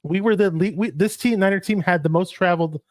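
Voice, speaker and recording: A man whose first language is Arabic, co-hosting a casual sports livestream and shouting out viewers in the chat. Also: high-pitched, a little fast, no room sound, clean studio sound. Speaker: podcast co-host.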